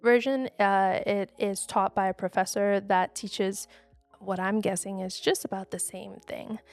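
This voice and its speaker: A woman co-hosting a podcast in a chatty, quick, casual way that breaks easily into laughter. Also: medium pitch, steady tone, no echo, faint music behind. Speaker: podcast co-host.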